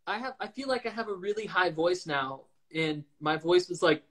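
A young man chatting in a relaxed, easygoing way on a livestream video call, speaking into a phone held at arm's length. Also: sits high, normal speed. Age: young adult. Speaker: livestream co-host. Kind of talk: casual chat on a video call. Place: room with shelves indoors.

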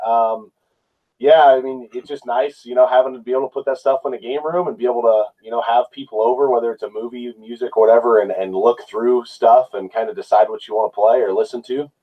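A man's voice: mid-range voice, narrow, tinny audio. A man in his thirties talking casually as a guest, somewhat hesitant and rambling. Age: 30s. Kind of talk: casual discussion.

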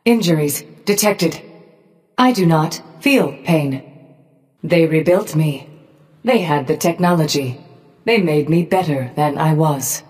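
An actress voicing a cold, cruel robotic Medusa in a video game, with a deliberate, threatening delivery. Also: female voice, low-pitched, darkish tone. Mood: cold menace. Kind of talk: scripted video game voice lines. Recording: long reverb tail.

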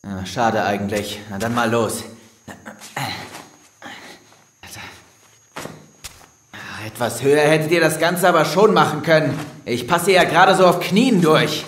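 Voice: mid-range voice, lively intonation, normal speed, fairly bright tone, slight background noise, natural voice. Echo-drenched, sarcastic, irritable test subject played by an actor. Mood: grumbling. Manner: sarcastic, grumbling, swearing. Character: sarcastic, hot-tempered, exasperated human test subject. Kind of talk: scripted audio drama acting. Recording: very echoey, distant, music underneath.

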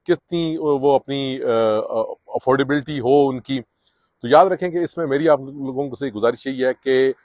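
A man's voice: medium pitch, no room sound. A middle-aged man talks to camera on a sunny residential street, giving earnest advice to newcomers with frequent filler sounds. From Pakistan or India. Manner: earnest, advising, with many filler "uh"s. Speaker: immigration advice vlogger. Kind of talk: casual explanatory vlog to camera.

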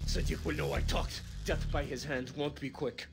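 A man's voice: fairly high pitch, fairly even intonation, normal speed, vocal fry, over music. An actor playing a frightened male captive being interrogated in a dramatic video game, pleading and bitter. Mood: bitter, resigned. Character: terrified male captive informant, pleading then bitterly resigned.

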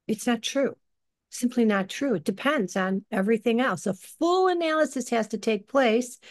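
Woman in her sixties, slightly raised pitch, earnest and deliberate, halting phrases, informal religious talk, video call. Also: darkish tone. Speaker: leader of a lay religious group. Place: living room, indoors. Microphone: computer on a video call.

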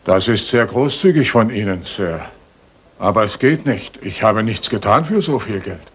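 A low-voiced, confiding village innkeeper. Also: male voice, expressive, moderate pace. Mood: polite, reluctant. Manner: hesitant, confiding, polite. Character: deferential, gossipy village innkeeper, wary and honest. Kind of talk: German radio play acting, 1980s. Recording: 1980s radio play with audible noise.

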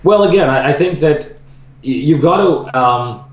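A man's voice: a little high, expressive, unhurried. A middle-aged man talking earnestly as a guest on a video call, thoughtful and restarting his sentences often. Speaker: ministry leader, podcast guest. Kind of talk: casual interview conversation. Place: home room. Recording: roomy video call audio.

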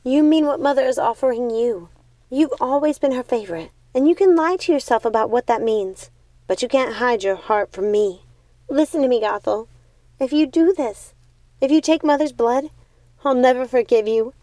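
A woman's voice: high voice, expressive, normal speed, dark tone, no echo, pitched above the performer's own voice. A woman voicing a passionate, indignant young witch sister in a storybook, emphatic and accusing. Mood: accusing, tearful.

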